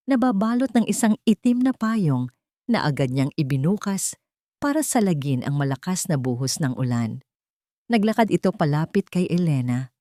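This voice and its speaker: A woman's voice: low voice, a little mellow, no echo, clean studio sound. A woman reading a dramatic scripted story as its narrator.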